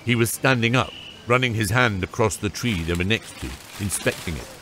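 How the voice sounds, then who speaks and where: A man's voice: lowish voice, brisk, a bit bright, slight background noise. A man narrating a scripted fantasy audiobook.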